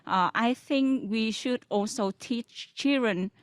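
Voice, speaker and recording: A woman's voice: fairly high pitch, slow, no echo. A young woman from Vietnam with a Vietnamese accent, a graduate student commenting hesitantly on a panel with many fillers.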